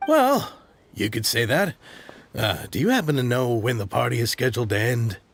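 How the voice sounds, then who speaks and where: A man's voice: medium pitch, sing-song, normal speed, dry recording. An actor playing a nervous, evasive, cowardly man in a video game, hesitant and stammering. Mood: uneasy. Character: nervous, evasive, cowardly male party guest.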